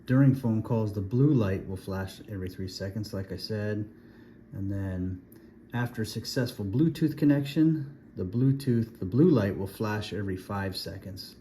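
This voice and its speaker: A man's voice: lowish voice, normal speed, dark tone, slight background noise. A man giving a casual, plainspoken hands-on product demonstration, explaining things step by step as a reviewer.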